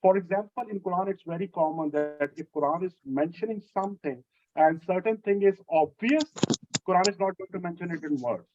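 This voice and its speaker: Man teaching, slightly high voice. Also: brisk, highs cut off, noisy background. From Lahore, Pakistan. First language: Urdu. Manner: explanatory, steady, repeats key phrases. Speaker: instructor. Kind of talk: answering a student's question in an online class.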